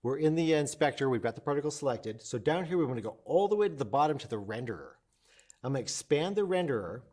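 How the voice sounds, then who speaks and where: Enthusiastic man teaching a software tutorial. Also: medium pitch, lively intonation, fast. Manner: enthusiastic, informal, self-interrupting. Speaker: instructor. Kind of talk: casual software tutorial, explaining step by step.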